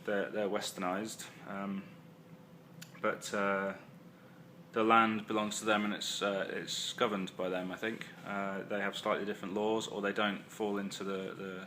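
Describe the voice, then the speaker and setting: Low-ish guy rambling about his trip into a phone, lots of ums, faint hiss behind. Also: level tone, measured pace, pushed and bright. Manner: hesitant, many ums and uhs, self-correcting. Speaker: travel vlogger. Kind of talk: casual rambling travel recap. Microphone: phone or handheld camera.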